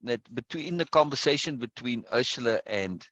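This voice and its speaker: A man leading a casual chat over a video call on a close boom mic, explaining his point at length and often checking "right?" or "yes?". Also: expressive, normal speed, dry recording, poor audio. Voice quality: loud. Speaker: discussion leader.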